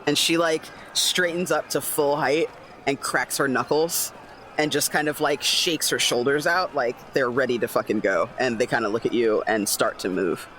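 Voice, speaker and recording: A man's voice: mid-range voice, moderate pace, a bit bright, a little hiss or hum. A man chatting casually as a player in a tabletop roleplaying game, slipping between character and table talk.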